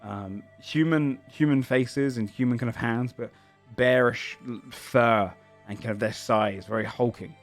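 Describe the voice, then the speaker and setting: A man's voice: mid-range voice, a little slow, faint music behind. A man narrating a tabletop game as game master, describing the scene in a searching, descriptive way.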